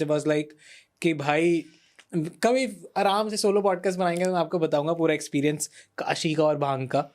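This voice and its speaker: A man's voice: a little high, a little mellow. Young man hosting casual chat. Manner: mixes English phrases into speech, repeats phrases for emphasis. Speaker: podcast host.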